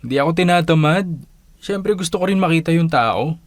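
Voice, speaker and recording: An actor playing a reluctant, soft-hearted young son who protests plaintively in a Tagalog radio drama. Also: male voice, fairly high pitch, expressive, a little fast, no echo, close to an everyday voice. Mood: reluctant, whiny.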